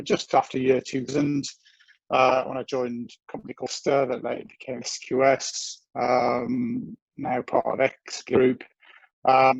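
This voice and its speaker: A man's voice: medium pitch, gravelly, no room sound. A man in his forties giving a technical talk over a video call, rambling and self-deprecating with many fillers. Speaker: guest presenter. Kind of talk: technical presentation. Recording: dull lo-fi video call audio.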